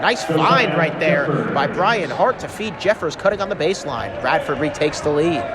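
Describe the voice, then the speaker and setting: Male basketball play-by-play announcer, high and animated, excitable delivery, very noisy live arena behind. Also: moderate pace. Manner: excitable, calling out plays. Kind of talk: live basketball radio commentary. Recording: very loud arena crowd noise. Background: basketball game crowd and bouncing ball.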